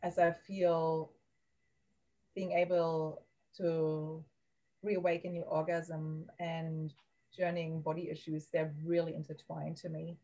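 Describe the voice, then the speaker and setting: A woman with a German accent speaks in a mellow, low voice with very little rise and fall. She talks slowly and hesitantly, often pausing to find her words as a podcast guest. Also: flat delivery, low-quality recording. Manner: soft, halting, searching for words. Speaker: guest, women's coach. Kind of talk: podcast interview.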